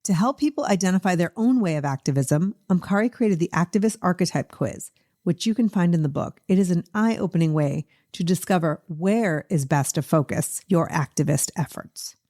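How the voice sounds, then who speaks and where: A woman speaks as the host of an interview in a slightly low register. Her delivery is conversational and reflective. She is agreeable, building on the points being made. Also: moderate pace, dark tone.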